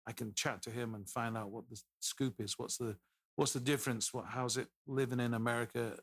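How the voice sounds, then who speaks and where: A man's voice: medium pitch, fairly even intonation, a little fast, a bit bright, slightly rough, dry recording, studio quality. A man in his fifties with an English accent, a podcast guest telling stories in a loose, rambling way. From England. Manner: rambling, anecdotal, many restarts. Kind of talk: casual storytelling interview.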